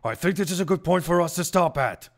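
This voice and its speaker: A man's voice: a little high, animated, fast talker. A man voicing a stern but easily baffled older judge with formal delivery in a courtroom fan dub. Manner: formal, pronouncing. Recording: music behind the voice.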